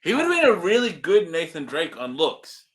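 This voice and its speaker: A man's voice: on the high side, very animated, normal speed, fairly bright tone. A man co-hosting a casual film review chat, thinking aloud a little hesitantly. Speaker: podcast co-host and film reviewer.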